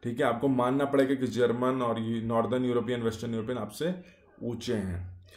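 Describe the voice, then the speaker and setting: A man's voice: mid-range voice, little pitch movement, fairly quick, a little mellow. A man giving a casual explainer monologue, speaking in short clauses with many fillers and rhetorical questions. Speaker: YouTube explainer. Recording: echoey room.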